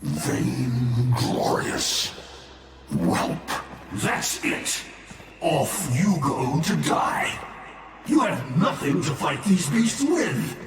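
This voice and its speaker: A man's voice: fairly high pitch, very animated, very slow, loud music behind the voice, full character voice. A male actor playing a bitter, ancient fallen warlord in a video game, mocking and grandiose, with theatrical, menacing delivery. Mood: contemptuous. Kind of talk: dramatic video game voice acting. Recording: cavernous echoing reverb, faint ambient noise.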